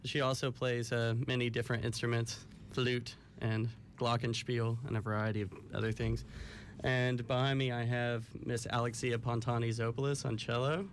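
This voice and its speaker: A man's voice: mid-range voice, flat delivery, normal speed, a little hiss or hum. A man speaking for his band in a casual radio interview, easygoing and agreeable. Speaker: band member and spokesman. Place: radio studio.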